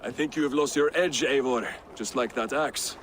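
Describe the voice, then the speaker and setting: A man's voice: medium pitch, normal speed, fairly bright tone, a little hiss or hum, lightly acted. An actor voicing a sardonic man who taunts the hero in a video game. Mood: taunting. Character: mocking male villager. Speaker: game character.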